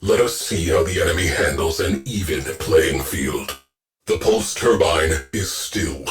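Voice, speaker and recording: An actor playing a terse, dry male ship's AI officer who speaks in clipped, deadpan military orders for a video game. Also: deep voice, a little slow, soft background music.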